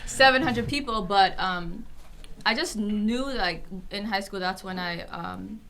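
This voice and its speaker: A young woman from Minnesota chats as a guest with a bright, forceful tone at an ordinary pitch. She talks eagerly and loosely, full of "like" and "you know". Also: unhurried, low-quality recording. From Minnesota, USA. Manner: chatty, eager, peppered with "like". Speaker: guest, fashion brand founder. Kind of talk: casual interview chat.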